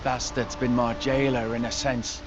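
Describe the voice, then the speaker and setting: An actor playing a bitter, grudging captive armourer with a wry manner in a fantasy video game. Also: male voice, mid-range voice, little pitch movement, measured pace, heavy background noise, lightly acted. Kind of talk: video game character acting. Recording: dull audio under music.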